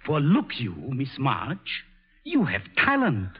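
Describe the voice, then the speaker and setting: An actor playing an earnest, kindly, awkward professor with a German accent, halting and formal but fervent, in 1940s radio drama style. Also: male voice, mid-range voice, huge pitch swings, slightly rough, full character voice. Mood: fervent, encouraging. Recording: tinny old 1940s radio broadcast.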